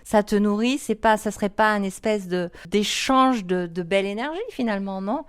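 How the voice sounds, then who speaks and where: A woman's voice: mid-range voice, moderate pace, clear, resonant tone. A woman hosting an interview, asking questions and finishing the guest's thoughts supportively. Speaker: interview host.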